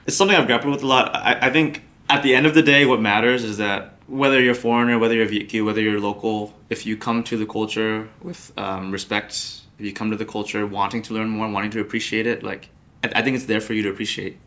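A man's voice: lowish voice, steady tone, quick pace, a bit bright. A young man from the United States, a podcast guest, answering thoughtfully with many fillers and restarts. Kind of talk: podcast interview.

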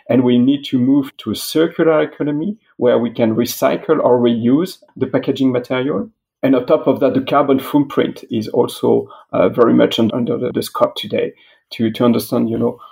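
A middle-aged man with a French accent and a very creaky, mellow voice. He is a business executive being interviewed as an expert, and he explains things haltingly, with many filler phrases. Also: medium pitch, rough recording. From France.

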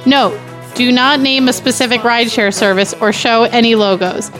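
A woman's voice: fairly high pitch, normal speed, fairly bright tone, soft background music, low-quality recording. A woman guest on a podcast, bantering casually and reading out script directions.

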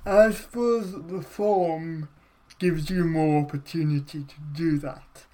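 A man's voice: fairly high pitch, steady tone, takes it slowly, mellow, slight background noise. A man interviewing an actor, speaking thoughtfully and reflectively, with frequent small affirmations. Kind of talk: casual interview.

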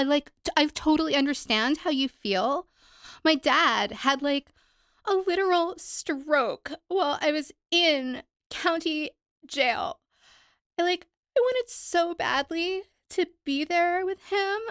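A woman's voice: high voice, fairly bright tone, no echo, studio quality, full character voice. Woman playing a gushy valley-girl inmate. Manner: valley-girl slang, lots of "like" and "totally". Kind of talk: audio play acting.

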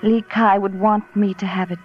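An actress playing a sultry, teasing femme fatale professor in 1940s radio noir, languid and insinuating. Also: female voice, mid-range voice, little pitch movement, normal speed, close to an everyday voice. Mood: seductive, needling. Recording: muffled old radio recording with music.

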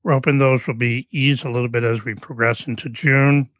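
A man's voice: mid-range voice, fairly even intonation, brisk, a bit bright, no echo. Male podcast host, easygoing, rambling delivery. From Canada. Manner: rambling, friendly, with restarts. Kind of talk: casual interview chat.

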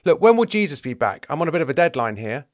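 A man's voice: a little high, big pitch swings, fast, voice pushed up. A man playing an impatient, sarcastic rich young man in a comedy sketch. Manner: sarcastic, pushy.

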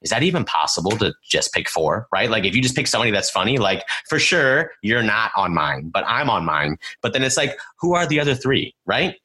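A man's voice: fairly low pitch, very animated, a little fast, hardly any pauses, bright, forceful tone, husky. A man co-hosting a podcast, chatting casually and joking with his friend, swearing now and then. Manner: joking, swears, self-deprecating. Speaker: podcast co-host. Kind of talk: casual banter.

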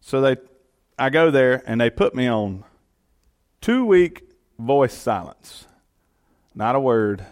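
A man's voice: medium pitch, sing-song, slow, long pauses, a little mellow. A middle-aged man with a Georgia accent, a county commission chairman, giving a personal testimony in an earnest, folksy way. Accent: Southern US, Georgia.

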